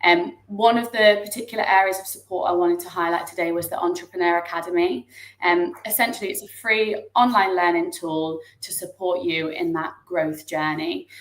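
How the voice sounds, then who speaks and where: A woman's voice: fairly low pitch, steady tone, moderate pace. A woman hosting an online webinar over video call, introducing the guest and politely reading out a disclaimer. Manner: polite, reads out a formal disclaimer. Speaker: webinar host. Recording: echoey room audio.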